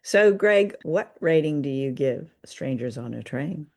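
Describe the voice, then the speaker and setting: A woman's voice: low voice, animated, unhurried, vocal fry, a little mellow. A woman co-hosting a podcast, chatting casually and appreciatively as she reviews radio dramas.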